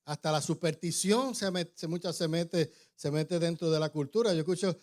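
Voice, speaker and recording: A middle-aged man preaches a sermon, his voice pitched slightly above most men's. His was English. He talks loosely and conversationally, often restarting his phrases and checking in with the congregation through tag questions. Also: moderate pace, a little mellow. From born in New York, raised in Puerto Rico. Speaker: pastor.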